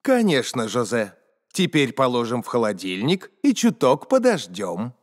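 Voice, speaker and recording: An actor playing a patient, helpful companion in a preschool cartoon, talking in an instructive, coaxing way. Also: male voice, mid-range voice, sing-song, normal speed.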